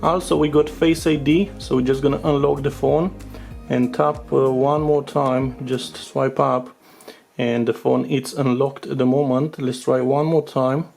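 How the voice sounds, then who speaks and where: Gravelly-voiced man giving a gadget walkthrough. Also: medium pitch, steady tone, a little slow, a little mellow. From Romania. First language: Romanian. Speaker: tech reviewer. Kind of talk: casual unscripted product overview commentary. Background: music with guitar behind the voice.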